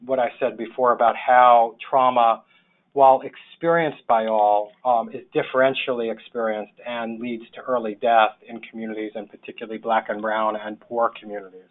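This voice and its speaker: A sixty-year-old man with a New York accent giving an informal slide presentation in a halting, reflective way as a webinar presenter. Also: mid-range voice, flat delivery. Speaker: webinar presenter, public health social worker. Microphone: phone or webinar call. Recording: thin phone-line webinar audio.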